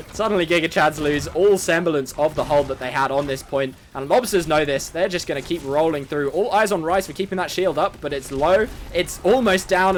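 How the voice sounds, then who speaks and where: Irish guy casting a game, laughs a lot, faint hiss behind. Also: on the high side. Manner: upbeat, laughs often. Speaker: esports caster. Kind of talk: live play-by-play commentary.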